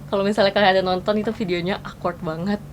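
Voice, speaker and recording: A woman's voice: mid-range voice, fairly even intonation, fast, very noisy. A 21-year-old woman from Indonesia chatting casually in a Q&A vlog, mixing English phrases into her talk. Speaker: vlogger. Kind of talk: casual Q&A chat while snacking. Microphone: home microphone. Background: music.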